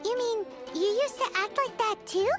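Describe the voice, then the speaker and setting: A woman voicing a tiny, excitable, childlike fairy companion girl in a video game, nosy and blunt, with a squeaky voice. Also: moderate pace, music in the background. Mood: excited, curious. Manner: exclamatory, talks about self in third person. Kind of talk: video game character dialogue.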